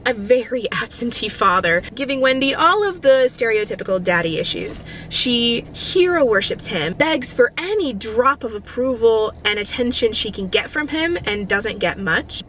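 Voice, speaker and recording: A woman giving a casual, chatty book review in an expressive, opinionated way, recorded inside a car. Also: a little high, slight background noise, low-quality recording.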